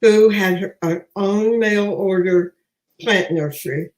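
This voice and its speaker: An older woman speaks slowly at a medium pitch, reminiscing like a practised storyteller. Her voice carries a clear roomy echo. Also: darkish tone, slight background noise. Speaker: guest, master gardener. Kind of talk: conversational reminiscing. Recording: roomy, echoing sound.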